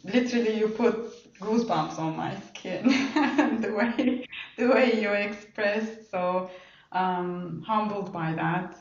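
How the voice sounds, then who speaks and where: A woman's voice: mid-range voice, slow pace. A middle-aged woman from Turkey with a Turkish accent, telling her own story conversationally as an interview guest. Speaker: podcast guest. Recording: roomy, distant, muffled mic.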